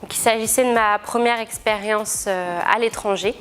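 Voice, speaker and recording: A woman's voice: mid-range voice, steady tone, normal speed, a bit bright. Young female student, mid-range voice, earnest formal testimonial delivery. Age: young adult. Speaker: student back from internship abroad. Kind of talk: interview testimonial.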